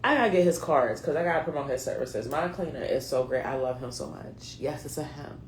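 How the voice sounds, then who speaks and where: A woman's voice: rumbling low, animated, brisk, a little hiss or hum. Slangy late-thirties woman in an echoey room. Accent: mixed US East Coast (New England, Philadelphia, North Carolina, Delaware). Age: late 30s. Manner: chatty, slangy, talks straight to viewers. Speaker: card reader. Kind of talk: casual spoken card reading for viewers.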